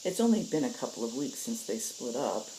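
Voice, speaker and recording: A woman hosting a story reading, moving between casual talk and expressive narration, with insects behind her. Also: very deep voice, a little fast, background noise. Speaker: host reading stories aloud.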